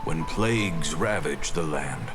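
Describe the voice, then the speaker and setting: A man playing a solemn storyteller who narrates an ancient legend in a video game, grave and deliberate. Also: rumbling low, over music.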